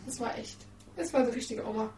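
A woman's voice: on the high side, brisk. A woman in casual conversation, speaking in a blunt, matter-of-fact way. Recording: roomy, distant mic, faint noise.

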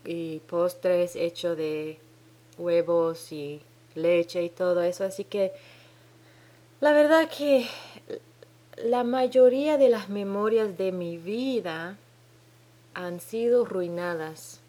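Slow, hesitant woman with a Dominican-tinged Spanish accent. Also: fairly low pitch, dark tone. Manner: hesitant, searching for words, frequent restarts. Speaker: podcast host. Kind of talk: solo podcast monologue. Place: home in New Zealand.

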